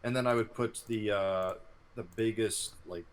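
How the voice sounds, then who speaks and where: A man co-hosting a gaming show, discussing gameplay casually in a halting, analytical, self-correcting way. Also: on the low side, fairly even intonation. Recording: clearly noisy room ambience.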